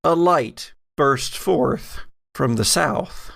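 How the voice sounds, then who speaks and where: Slow, gravelly man narrating a game. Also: on the high side, fairly bright tone. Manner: dramatic storytelling mixed with casual asides. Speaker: game master of an actual-play podcast. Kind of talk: narrating a tabletop roleplaying game.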